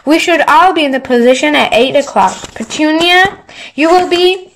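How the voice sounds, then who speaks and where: A woman's voice: high-pitched, normal speed, a bit bright, a little hiss or hum, pitched above the performer's own voice. A woman voicing a pompous, self-important middle-aged uncle in a storybook reading. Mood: self-important, bossy.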